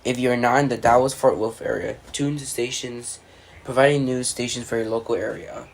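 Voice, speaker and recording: An amateur voice actor reading a scripted mock emergency broadcast as an announcer, halting and stumbling with repeated phrases. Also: medium pitch, fairly even intonation, gravelly.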